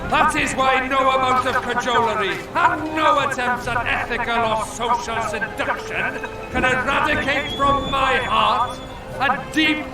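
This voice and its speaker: A man's voice: very high-pitched, far from the mic. A man playing a furious, impassioned politician making a declamatory rally speech in an audio drama. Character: fiery, embittered Welsh socialist politician orating. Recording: echoing outdoor rally, crowd and music behind.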